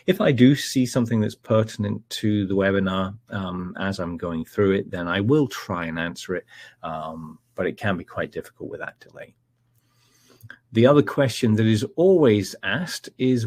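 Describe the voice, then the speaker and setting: A middle-aged Scottish man presenting a live technical webinar in a relaxed, chatty way, with frequent ums and uhs. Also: low-pitched, breathy edge, no echo. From Scotland.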